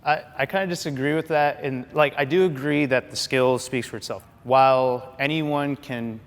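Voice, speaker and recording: A man's voice: medium pitch, fairly even intonation, normal speed, big-room sound. A man, a pro gamer, talking frankly and reflectively in a casual group discussion, with casual swearing.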